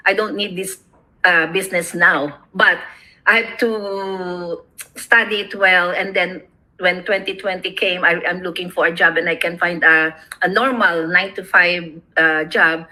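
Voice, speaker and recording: A woman's voice: lowish voice, fairly even intonation, measured pace, bright, forceful tone, rough recording. Chatty Filipino woman on a video call. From Philippines. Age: middle-aged. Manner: chatty, rambling with many fillers and restarts. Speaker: online business owner, co-host. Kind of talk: casual video chat.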